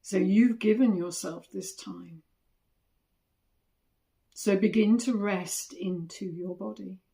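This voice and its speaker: A woman leads a guided meditation in a medium-pitched voice with plenty of vocal fry. She speaks very slowly and gently, in short phrases with long pauses between them. The delivery is soothing and instructional, as from a body scan teacher.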